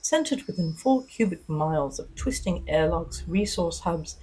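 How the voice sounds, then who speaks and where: A woman reading scripted first-person fiction as a narrator, in a deliberate, dramatic storytelling manner. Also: on the low side, animated, warm tone, a little hiss or hum, poor audio.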